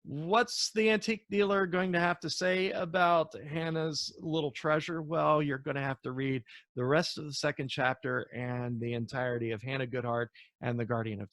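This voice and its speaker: Male author for storybook read-aloud, mid-pitched, expressive, occasional stumbles, very little room sound. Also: dry recording. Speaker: author reading from own novel.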